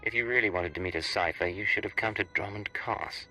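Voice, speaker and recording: A cocky, cold secret agent in a video game. The voice is very low yet hard-edged, dry and sardonic, with music behind. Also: male voice, brisk, bright, forceful tone, low-quality recording. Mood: sardonic, defiant. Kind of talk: video game cutscene acting. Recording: game audio over music.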